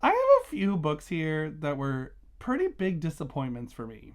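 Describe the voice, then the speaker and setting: A man's voice: fairly high pitch, huge pitch swings, moderate pace. A man hosting a casual book review vlog, talking conversationally and emphatically, stressing and repeating key words.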